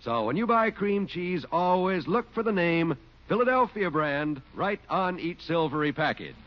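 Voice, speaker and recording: A man's voice: sits high, sing-song, moderate pace, a little hiss or hum. An American man announcing on 1940s radio, reading scripted copy in a polished, emphatic way. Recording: muffled 1940s radio, music behind.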